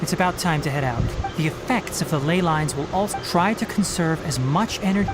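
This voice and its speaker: A man voicing a calm, careful young forest ranger in a video game, with measured, explanatory delivery. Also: fairly high pitch. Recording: game audio with loud soundtrack.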